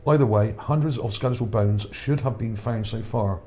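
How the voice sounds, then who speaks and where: A man's voice: on the low side, normal speed, mellow, a little hiss or hum. A man whose voice is starting to wear out. He talks over images in a rambling way, repeats words and checks in with "okay?". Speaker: channel host. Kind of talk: casual narration over images, reading his article.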